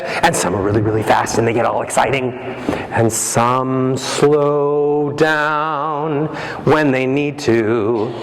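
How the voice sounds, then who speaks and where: A man giving a motivational keynote as a voice coach, animated and playful, acting out examples with his voice. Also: on the high side, slight background noise. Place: large hall. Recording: echoey hall, faint ambience.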